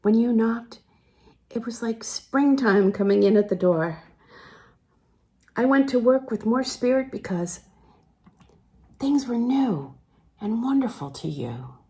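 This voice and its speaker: A woman voicing a tender, melancholy older man who speaks gently, hesitantly and earnestly in a literary audiobook. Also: on the high side, animated, slow pace, long pauses, pitched above the performer's own voice. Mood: tender, wistful confession.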